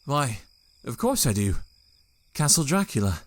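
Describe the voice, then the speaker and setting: A man playing a prim Victorian gentleman. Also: medium pitch, big pitch swings, a little slow. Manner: formal, courteous and prim. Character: polite proper young Victorian male gentleman. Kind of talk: audio drama acting and journal narration.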